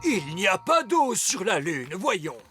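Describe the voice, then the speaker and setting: A man's voice: high-pitched, sing-song, slow, music in the background, full character voice. A man voicing a wise old male elf in a cartoon, stern and proud, with an emphatic, officious delivery. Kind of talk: French cartoon dub acting.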